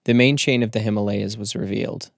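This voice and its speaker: A man narrating a literary story as an audiobook, in a measured, formal storytelling manner. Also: on the low side, fairly even intonation, creaky, dry recording, studio quality.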